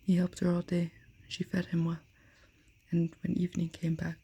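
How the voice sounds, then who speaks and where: A woman softly whispering a fairy tale aloud, as in an ASMR reading. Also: on the low side, completely flat delivery, moderate pace. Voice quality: breathy. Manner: soft unvoiced whisper. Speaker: storyteller.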